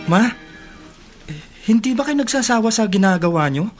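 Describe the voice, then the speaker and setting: An actress with a Filipino accent playing a weary, resentful daughter in a radio drama, plaintive in manner. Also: female voice, medium pitch, animated, moderate pace, faint music behind, natural voice. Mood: hurt, resentful. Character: weary, resentful grown child feeling neglected.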